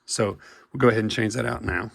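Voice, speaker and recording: A man with a cold, hoarse as he walks through a repair step by step in a casual, halting way with many fillers and restarts. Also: fairly low pitch, fairly even intonation, fairly bright tone, dry recording. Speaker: paintball marker technician. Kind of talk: hands-on repair tutorial.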